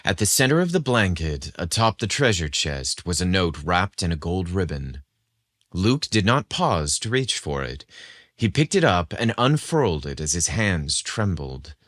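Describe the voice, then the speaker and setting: Male podcast narrator, deep register, deliberate scripted delivery, very dry sound. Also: sing-song, a bit bright, dry recording. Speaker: podcast host and story narrator.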